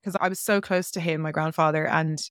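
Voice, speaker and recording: A woman's voice: deep voice, steady tone, a little fast, a bit bright, clean tone, no echo, studio quality. A woman guest on a podcast telling a personal story in a chatty, rambling way, full of "like" fillers. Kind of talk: casual interview storytelling.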